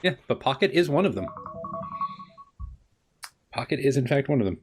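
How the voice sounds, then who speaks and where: A man's voice: medium pitch, very animated, normal speed, music in the background. A man with a mid-range voice runs a tabletop game as game master. He improvises casually, with playful, wry banter, and tends to repeat his own phrases.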